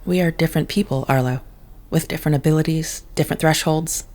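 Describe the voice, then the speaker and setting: A woman's voice: sits low, steady tone, quick pace, a little mellow, lightly acted. Woman acting detached female telepath, formal, precise diction, coolly logical, controlled, audio drama. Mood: cool, guarded.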